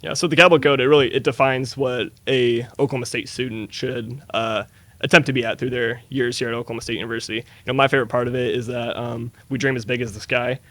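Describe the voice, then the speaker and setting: A man's voice: mid-range voice, fairly even intonation, fast talker, a bit bright, dry recording. A man in his early twenties from Eastern Washington, a student answering interview questions in an earnest, down-to-earth way. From Eastern Washington, USA. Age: early 20s. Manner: earnest, down-to-earth, uses fillers like "uh" and "you know". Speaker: student guest.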